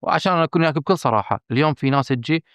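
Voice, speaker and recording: A man with a Bahraini accent, a film director speaking candidly as a podcast guest, emphatic and earnest, often pausing on fillers and restarting. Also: a little high, a bit bright, no echo, clean studio sound. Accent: Bahraini Arabic. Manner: earnest and emphatic, stressing his frankness, with hesitant fillers and restarts.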